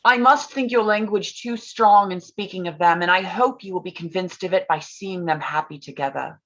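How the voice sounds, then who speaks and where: Actress as a gentle period sister, earnest, polite, lowish pitch, muffled lo-fi sound. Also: female voice, normal speed, lightly acted. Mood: gently chiding. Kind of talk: stage-play reading, period drama. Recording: slightly dull, lo-fi audio.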